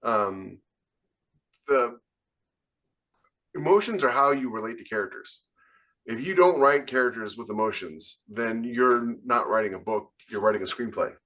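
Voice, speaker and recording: A man's voice: medium pitch, expressive, a little slow, long pauses, sharp tone. A man speaking emphatically and with firm opinions in a casual panel chat about writing, as a podcast co-host. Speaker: podcast co-host and fiction author.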